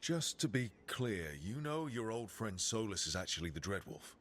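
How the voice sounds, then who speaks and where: A man's voice: lowish voice, sing-song, moderate pace, fairly bright tone. A man playing a resolute, plain-spoken heroic leader in a video game. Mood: wary, firm. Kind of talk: video game dramatic acting. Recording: game music under the voice.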